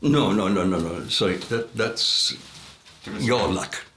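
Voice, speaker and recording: A man's voice: on the low side, slow, fairly bright tone, roomy sound, a little hiss or hum. A man voicing an earnest, hesitant wanderer in a folk tale, questioning and stammering. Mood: earnest, puzzled. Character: luck-seeking farmer, earnest, hesitant male wanderer. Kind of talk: storybook folk tale character voice.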